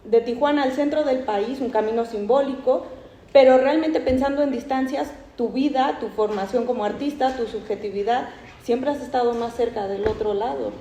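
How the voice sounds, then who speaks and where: Woman moderator, mellow and somewhat high voice, probing questions, roomy dull recording with faint noise. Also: moderate pace. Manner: probing, discursive questions with self-corrections. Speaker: curator and moderator. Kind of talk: moderating a book presentation. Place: cultural institute event room.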